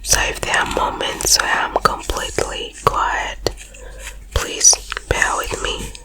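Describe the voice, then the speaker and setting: A woman with a cold and a blocked nose, whispering softly as she hosts an ASMR personal attention video. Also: takes it slowly. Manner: soft unvoiced whisper throughout. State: congested from a cold. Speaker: ASMR host.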